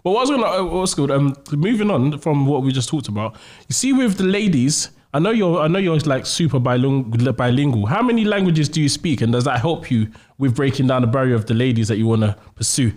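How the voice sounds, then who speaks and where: A man's voice: mid-range voice, lively intonation, fairly quick, a little mellow. A man chatting casually as a podcast host. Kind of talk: casual chat.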